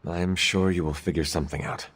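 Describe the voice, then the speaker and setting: Game lead: low, stoic, formal hero, supportive, with a long reverb on the voice. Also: male voice, steady tone, a bit bright, breathy edge, full character voice. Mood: calm, probing. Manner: formal, measured, few contractions. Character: stoic male demon-tempted hero, reserved and supportive. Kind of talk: video game dialogue acting.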